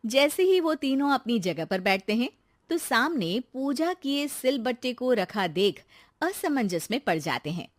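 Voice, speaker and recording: A woman's voice: a little high, sing-song, a little slow, no echo, studio quality. A woman narrating a scripted moral story for a cartoon in a storytelling style.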